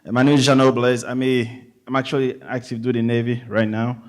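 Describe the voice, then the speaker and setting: A man's voice: mid-range voice, level tone, a little fast. A man in his thirties from Haiti with a Haitian accent, a Navy chief speaking casually from the audience at a forum and often tagging his sentences with "right?". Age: 30s. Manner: conversational, tags sentences with "right?". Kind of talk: casual public remarks at a forum.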